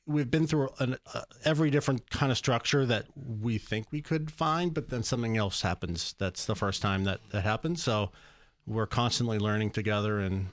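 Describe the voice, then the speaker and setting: A man's voice: mid-range voice, normal speed, no room sound. A man speaking casually on a panel about his own life, hesitantly searching for his words. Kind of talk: casual personal storytelling.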